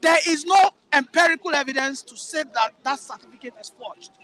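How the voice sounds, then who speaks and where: A man's voice: very high-pitched, animated, fairly quick, bright, forceful tone, some chatter behind. A man with a Nigerian accent, arguing politics in a heated, mocking way and repeating his points. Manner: combative, mocking, repeats himself.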